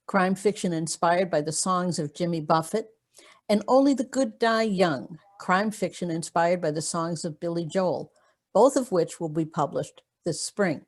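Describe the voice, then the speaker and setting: Low-voiced woman hosting an interview. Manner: hesitant with many fillers when speaking freely. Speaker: blog host and interviewer. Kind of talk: reading an introduction, then casual interview. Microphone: video call.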